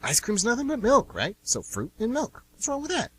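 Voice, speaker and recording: A woman playing a secretive, touchy younger brother with a husky voice and evasive, hesitant delivery in an amateur radio drama. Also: on the high side, huge pitch swings, normal speed, full character voice. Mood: cheerful, joking. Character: shifty, defensive younger brother, secretive grown man.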